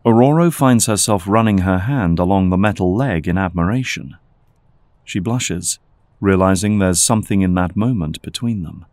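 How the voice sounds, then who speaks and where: A man's voice: deep voice, normal speed, darkish tone, no room sound. A man reading scripted, dramatic narration as the narrator of an audio drama. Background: background music.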